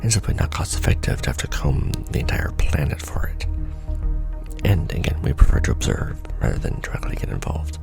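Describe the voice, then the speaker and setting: A man performing an ASMR roleplay as a seasoned, wry secret-society official, talking softly and casually with a gravelly, breathy undertone. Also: rumbling low, big pitch swings, music in the background. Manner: wry, casual, unhurried explaining. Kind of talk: ASMR roleplay monologue, soft conversational briefing.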